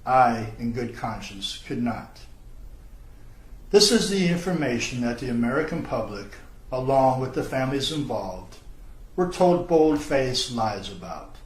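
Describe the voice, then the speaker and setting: Solemn, slow-spoken man in an echoey room. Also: mid-range voice, lively intonation. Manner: solemn, formal, measured. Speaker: self-described whistleblower. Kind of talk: scripted video statement.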